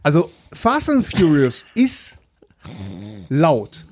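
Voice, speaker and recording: A man chatting casually about films as a podcast panelist, emphatic and halting, often repeating himself for effect. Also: fairly high pitch, big pitch swings, dry recording. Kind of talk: casual film review chat. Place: living room.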